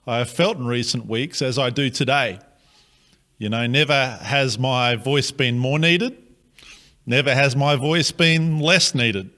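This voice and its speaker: A man with an Australian accent, a pastor giving a slow, earnest, measured address to his city. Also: medium pitch, pushed and bright.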